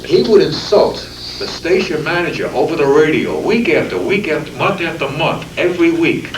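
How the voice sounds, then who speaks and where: A man with a slightly high, animated voice, chatting and telling an anecdote. He sounds off from the mic in a roomy space, on a noisy tape with clicks. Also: moderate pace, recorded from a distance. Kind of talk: casual conversational anecdote.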